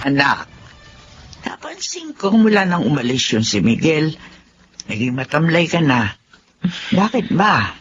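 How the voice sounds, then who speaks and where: A woman's voice: low-pitched, sing-song, measured pace, pauses often, highs cut off. A woman acting a concerned older parent in a Filipino radio drama, inquisitive and exclamatory. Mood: concerned, indignant. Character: concerned, indignant older parent advising a grown child. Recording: faint background noise.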